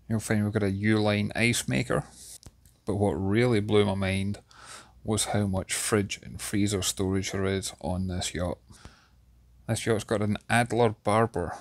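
Scottish male reviewer, 30s, deep gravelly voice, calm guided-tour delivery. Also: no echo. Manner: steady, descriptive, enthusiastic about details. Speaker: yacht reviewer giving a walkthrough tour. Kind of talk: guided video tour narration. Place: inside a yacht cabin.

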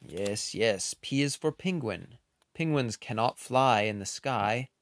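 A man reading a children's picture book aloud in an expressive, unhurried way, with casual, playful asides. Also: mid-range voice.

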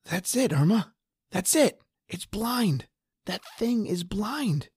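A man's voice: high-pitched, animated, takes it slowly, darkish tone, slightly rough, dry recording, studio quality, voice pushed up, heavily acted. A man playing a desperate, injured husband trapped underground in a horror radio drama. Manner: pleading, ragged, then defiant.

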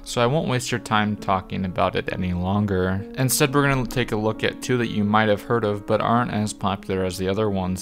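A man narrating a scripted video essay as its presenter. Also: lowish voice.

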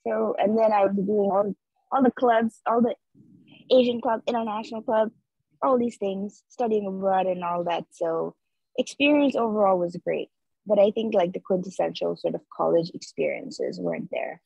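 A woman's voice: medium pitch, moderate pace, vocal fry, warm tone, slightly rough, no room sound. A young woman from Nepal, speaking earnestly as an interview guest over a video call, with lots of filler likes. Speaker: interview guest, street artist. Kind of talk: casual reflective conversation. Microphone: video call laptop mic. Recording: dull video call audio.

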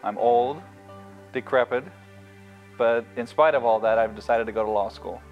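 A man in his forties, an incoming law student, speaking in a self-deprecating, halting way in an interview. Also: medium pitch, highs cut off, soft background music. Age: 40s.

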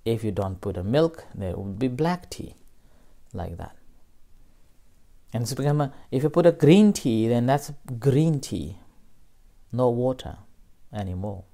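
Slow, soft-spoken man giving a dharma talk. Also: mid-range voice. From Nepal. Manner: slow, gentle, with pauses and fillers. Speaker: meditation teacher. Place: small room indoors.

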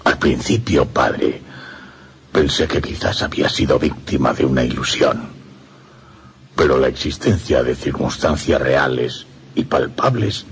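An actor playing an anguished, lovestruck priest in a radio play, speaking slowly and breathily with impassioned drama and a Castilian Spanish accent. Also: male voice, very deep voice, slightly rough, exaggerated voice. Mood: weary, troubled. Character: anguished lovestruck priest recounting his haunted romance.

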